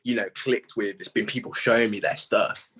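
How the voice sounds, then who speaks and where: A man's voice: fairly low pitch, fairly even intonation, normal speed, a bit bright, breathy edge. A British man in his late teens speaking as a musician in a casual interview, candid and self-reflective, with plenty of slang.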